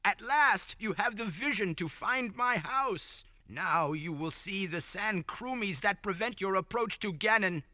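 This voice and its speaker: Sing-song old wizard. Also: male voice, sits high, lively intonation, a little slow, hardly any pauses, sharp tone, dry recording, full character voice. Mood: solemn. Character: eccentric old male wizard guide.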